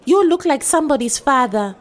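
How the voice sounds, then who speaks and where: Woman acting a defiant, high-pitched young woman. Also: animated, a little fast. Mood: scornful. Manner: scornful, forceful. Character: defiant, angry young woman confronting male customers. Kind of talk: acted dialogue in a short story reading.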